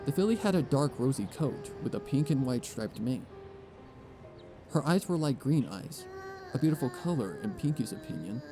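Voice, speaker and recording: Animated male storybook narrator over music. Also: mid-range voice, moderate pace. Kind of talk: scripted storybook narration of fan fiction.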